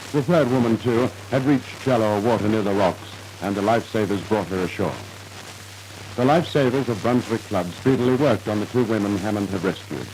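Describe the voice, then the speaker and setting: A man's voice: lowish voice, darkish tone, a little hiss or hum, low-quality recording. A man narrating a scripted 1950s radio drama in a formal, dramatic storytelling manner, on an old radio recording.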